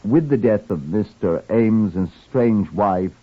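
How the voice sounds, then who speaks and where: A man playing a methodical, polite police chief superintendent who questions formally with hesitant pauses, in old-time radio crime drama style. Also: lowish voice, lively intonation, slightly rough, full character voice. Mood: probing. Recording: dull lo-fi old radio recording.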